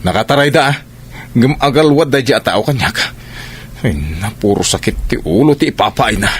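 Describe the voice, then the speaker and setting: A man's voice: medium pitch, brisk, slight background noise, lightly acted. A man with an Ilocano accent playing a sensible, exasperated farmer brother, complaining and moralizing in a radio comedy drama.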